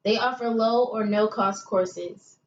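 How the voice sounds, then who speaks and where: A woman's voice: medium pitch, steady tone, slow pace, natural voice. A young woman playing an earnest investigator guest in a scripted amateur interview. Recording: echoey, muffled room with hiss.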